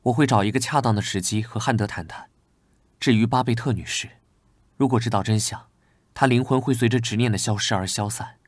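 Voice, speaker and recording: An actor playing a gentle young knight investigator in an animated drama, polite and considerate. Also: male voice, mid-range voice, brisk, no room sound, clean studio sound, close to an everyday voice. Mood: serious. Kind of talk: Chinese animated drama voice acting.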